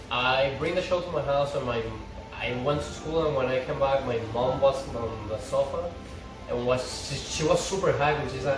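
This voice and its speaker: Young male fan, casual and rambling, enthusiastic; distant mic, echoey room. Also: medium pitch. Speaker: fan discussing a TV series. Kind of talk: casual chat. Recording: roomy, distant mic with loud background noise.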